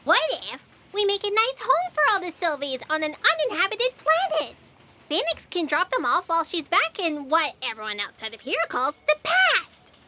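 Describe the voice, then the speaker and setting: Woman as a piercingly bright, chirpy fact checker. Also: extremely high pitch, huge pitch swings, normal speed, a little hiss or hum, exaggerated voice. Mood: eager. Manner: pert, pedantic, defensive. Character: chirpy, literal-minded young female fact checker. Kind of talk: comic sci-fi audio drama acting.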